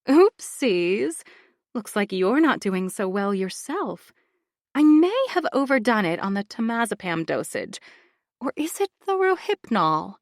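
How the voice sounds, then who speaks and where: A woman doing a mocking villainess who drags out her words in a slow, sing-song taunt, pitched a little higher and louder than the narration. The sound is close and dry. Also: very animated, no echo. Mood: breezy. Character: coolly menacing woman who drugs people, mocking.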